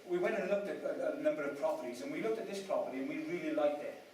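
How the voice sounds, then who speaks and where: A man raised in Wales gives a chatty, self-mocking talk to an audience, often tossing questions at them. His voice sits a little high. It is heard from a distance in a strongly echoing room with faint noise behind. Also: expressive, rapid-fire, darkish tone. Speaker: speaker at a property event. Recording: echoey room, mic at a distance.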